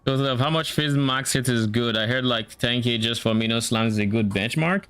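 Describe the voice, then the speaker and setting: A man's voice: mid-range voice, normal speed, fairly bright tone, clear, resonant tone. A man from Nigeria with a mixed Nigerian and Canadian accent, thinking aloud in casual chat on a live game stream. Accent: mix of Nigerian and Canadian. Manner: thinking aloud, musing and self-questioning.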